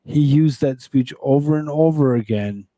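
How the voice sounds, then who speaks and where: A man's voice: mid-range voice, normal speed, mellow, no room sound. A man hosting a political talk show, reading news items aloud and commenting on them in a rambling, conversational way.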